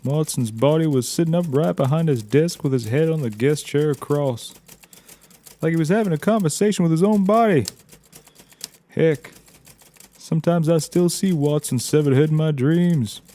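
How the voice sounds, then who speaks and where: A man's voice: fairly high pitch, moderate pace, warm tone, close to an everyday voice. A man playing a naive, folksy taxidermist and tracker in a scripted audio comedy, rambling with drawled interjections. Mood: earnest, rambling.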